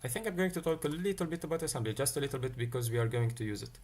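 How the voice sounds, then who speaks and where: A man's voice: mid-range voice, rapid-fire, a little mellow. A man from Tunisia, teaching a live-streamed coding lesson. He thinks aloud and answers chat questions as they come in. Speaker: livestream tech teacher.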